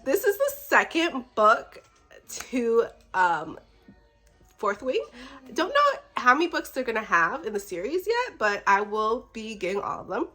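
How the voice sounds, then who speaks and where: A woman's voice: on the high side, huge pitch swings, unhurried, pushed and bright, soft background music. A woman book vlogger who is slightly under the weather, chatting casually in an effusive, giggly, rambling way. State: slightly sick.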